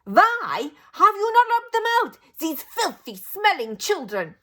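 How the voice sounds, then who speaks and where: A woman voicing a cruel, furious female witch leader who shrieks out slow, drawn-out tirades. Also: very high voice, sing-song, sharp tone, pitched above the performer's own voice, heavily acted. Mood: screaming.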